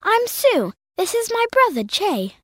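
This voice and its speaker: A woman voicing a friendly, expressive young girl in a scripted textbook dialogue, with a clear, careful, sing-song delivery. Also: very high-pitched, a little slow, no echo, studio quality, full character voice.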